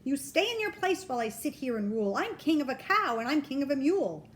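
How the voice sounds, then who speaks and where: A woman's voice: high-pitched, sing-song, fast, a little hiss or hum. A woman voicing a vain, bossy turtle king from a children's storybook, with pompous, commanding exclamations. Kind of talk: children's storybook read-aloud.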